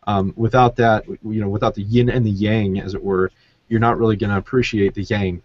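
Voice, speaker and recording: A man hosting a casual podcast discussion, halting as he searches for words. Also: fairly low pitch, flat delivery, darkish tone, no room sound, highs cut off. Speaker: podcast host.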